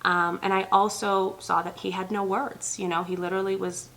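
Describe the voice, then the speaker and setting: Earnest woman with a gravelly, bright voice. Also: mid-range voice, level tone, moderate pace. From Ethiopia. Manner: earnest, many ums, speaks directly to viewers. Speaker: pediatric nurse practitioner and channel host. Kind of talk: personal talk to camera.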